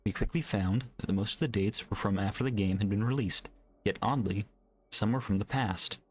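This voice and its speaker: A man reading a scripted horror story as a narrator, with measured, deliberate delivery. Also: fairly low pitch, slight background noise. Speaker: creepypasta narrator. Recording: music bed under the voice. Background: background music.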